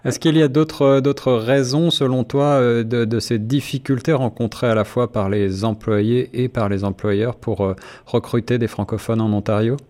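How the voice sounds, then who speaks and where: A man's voice: mid-range voice, fairly even intonation, normal speed, darkish tone. A man hosting a radio interview who restates and sums up his guest's points. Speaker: radio host.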